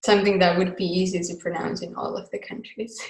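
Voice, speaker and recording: A woman's voice: lowish voice, fairly even intonation, fairly quick, reverberant, low-quality recording. A woman whose first language is Finnish, leading a live online class in a casual, chatty way with easy laughs and rambling asides. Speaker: class facilitator. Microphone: video call mic.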